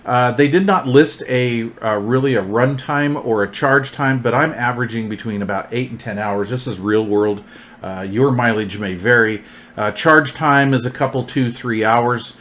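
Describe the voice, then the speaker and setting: A man's voice: mid-range voice, normal speed, a bit bright. Casual man giving a hands-on gear review.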